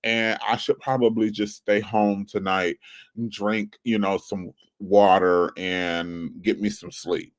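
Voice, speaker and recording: A man's voice: lowish voice, level tone, slow. Earnest man in his forties giving advice. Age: 40s. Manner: earnest, repetitive, preachy coaching tone. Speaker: channel host. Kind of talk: casual livestream advice talk.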